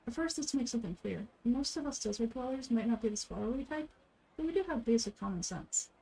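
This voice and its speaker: A woman's voice: on the high side, lively intonation, fairly quick, gravelly, dark tone, slightly rough, exaggerated voice, poor audio. A woman voicing a tough, frank desert mercenary woman in a video game. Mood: skeptical. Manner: frank, no-nonsense.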